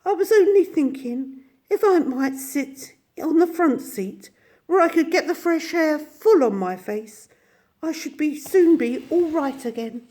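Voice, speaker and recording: A woman voicing a conceited, excitable male toad in a storybook reading, high and pompous. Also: unhurried. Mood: feigned meek.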